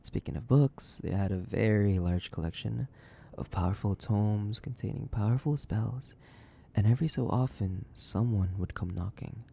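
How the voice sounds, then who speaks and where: Guy doing a sadistic old vampire for horror roleplay, low dark voice, breathy whispers, savoring every word, faint noise behind. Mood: wry storytelling. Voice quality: gravelly, breathy, often dropping to a whisper. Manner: slow, theatrical, savoring, condescending. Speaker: audio roleplay voice actor. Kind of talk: horror audio roleplay monologue.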